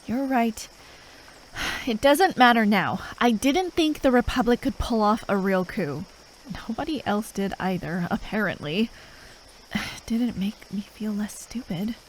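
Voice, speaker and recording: A woman playing a gruff, impatient but grudgingly caring fantasy assassin in an ASMR roleplay, sarcastic and sweary as she talks to the listener. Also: medium pitch, expressive, a little slow, slight background noise, close to an everyday voice, rough recording. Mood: resigned, wry. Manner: sarcastic, drawling, sweary, with sighs.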